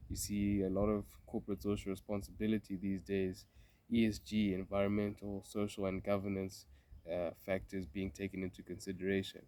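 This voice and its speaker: A young man with a cold, talking in a relaxed, reflective way with many fillers and restarts while sitting outdoors in a garden. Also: on the low side, noisy background. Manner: thoughtful, meandering, with frequent fillers and restarts. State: congested from a cold. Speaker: vlogger. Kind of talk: casual reflective vlog.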